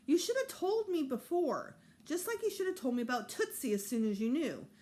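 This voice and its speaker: A woman's voice: high voice, very animated, brisk, darkish tone, pitched above the performer's own voice. A woman voicing an indignant, sulky and stubborn preteen boy in a storybook, complaining emphatically with sarcasm.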